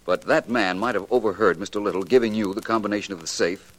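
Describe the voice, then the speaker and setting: An actor playing a composed, probing private detective in a late 1940s radio mystery, measured and courteous in his questioning. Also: lowish voice, a bit bright, rough recording. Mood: thoughtful.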